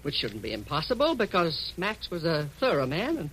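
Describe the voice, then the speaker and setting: A domineering older American woman boss in a 1940s radio drama. Her delivery is curt and commanding, with a sharp, forceful tone and big swings in pitch. It sounds like an old broadcast. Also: wildly swooping pitch, normal speed, slightly rough, full character voice, poor audio. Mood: brusque, businesslike. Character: bossy, brusque older female publishing tycoon.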